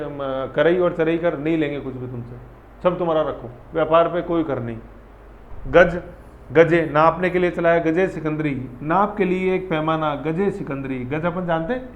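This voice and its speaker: A man's voice: fairly high pitch, slight background noise. Chatty male teacher, echoey room. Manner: chatty, repetitive, quizzes students. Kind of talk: exam-prep history lecture. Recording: echoey room, dull audio, faint ambience.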